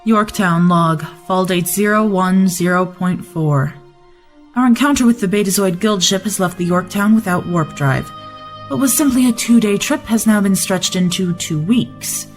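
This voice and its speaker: An actor playing a cautious, businesslike starship commander in a sci-fi audio drama, crisp and formal. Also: medium pitch, moderate pace, faint music behind, natural voice. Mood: sober report.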